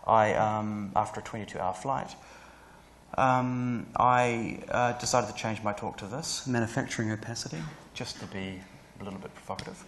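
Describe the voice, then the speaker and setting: A man in his thirties with a New Zealand accent, giving a conference talk in a lecture hall, rushing along with many fillers and restarts. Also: on the low side, fairly bright tone, a little hiss or hum. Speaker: guest speaker. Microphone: lapel mic.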